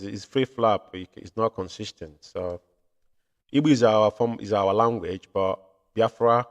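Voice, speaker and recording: A man hosting a political commentary show from home, building into loud, impassioned rants. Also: fairly low pitch. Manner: rises to loud impassioned ranting. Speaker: show host.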